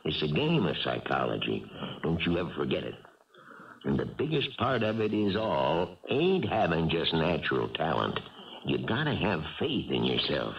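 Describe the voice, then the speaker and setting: A man's voice: extremely low pitch, big pitch swings, moderate pace, creaky, full character voice. An actor playing a wily, fatherly older baseball manager with a homespun, reassuring manner in a radio drama. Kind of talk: 1970s radio drama acting. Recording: muffled, hissy old radio broadcast.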